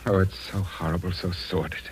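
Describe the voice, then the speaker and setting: A man's voice: low-pitched, fairly even intonation, brisk, slightly rough, low-quality recording. An actor playing an insistent, aggrieved widowed father in a theatrical, formal old-time radio drama style. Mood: grieving.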